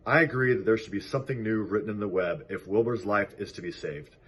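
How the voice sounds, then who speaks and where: A man's voice: on the low side, fast talker, a bit bright, breathy edge. A man voicing an old sheep in a storybook, blunt and persuasive, reasoning firmly. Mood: confident. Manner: firm, reasoning.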